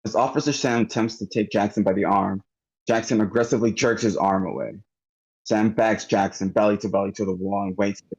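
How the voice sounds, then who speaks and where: A man's voice: fairly low pitch, fairly even intonation, a little fast, husky. A man reading stage directions as scripted narration at a table read over an online call. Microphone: online meeting call. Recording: dull, muffled online call.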